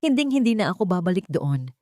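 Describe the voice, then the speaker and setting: A woman performs an ambitious, cold society bride from an audio melodrama. Her delivery is controlled and commanding, in a somewhat low voice with a dry sound. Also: big pitch swings, fast, a little mellow, dry recording. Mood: bitter resolve.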